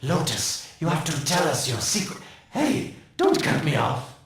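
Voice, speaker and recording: An actor voicing a sly, mocking scientist who taunts in smug, sarcastic drawls. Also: male voice, fairly high pitch, sing-song, a little slow, heavy reverb, recorded from a distance, a little hiss or hum. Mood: sardonic. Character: sly, mocking male scientist, gleeful schemer.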